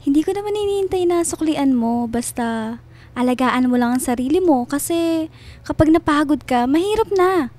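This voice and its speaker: An actress playing a gentle, attentive household helper in a Filipino radio drama, speaking with a Filipino accent in a soft, comforting way. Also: female voice, high voice, expressive, normal speed. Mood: soft, caring. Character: gentle, caring female household helper, devoted and quietly attentive.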